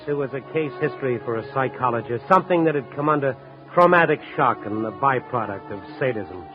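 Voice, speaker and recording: An actor playing a world-weary, wry newspaper reporter, narrating and acting in a 1950s radio drama. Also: male voice, medium pitch, lightly acted. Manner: hard-boiled, wry. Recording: muffled vintage radio recording with music.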